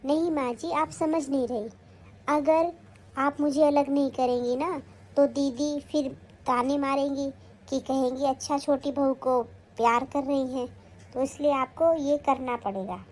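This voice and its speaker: A woman's voice: high-pitched, steady tone, unhurried, darkish tone. A woman playing a sly young daughter-in-law. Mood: plotting. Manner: coaxing, plotting, pleading. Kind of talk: family skit acting.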